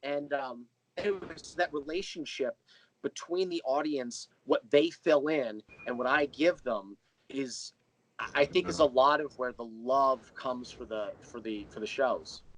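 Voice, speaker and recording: A man's voice: medium pitch, measured pace, slight background noise, poor audio. Enthusiastic man explaining as interview guest. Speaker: interview guest, audio drama writer and creator. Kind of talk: casual interview chat.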